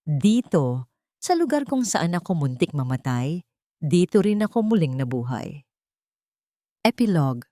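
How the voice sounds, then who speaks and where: A woman's voice: low voice, darkish tone, no echo, studio quality. A woman reading scripted, dramatic first-person narration for a romance audio drama. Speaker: story narrator.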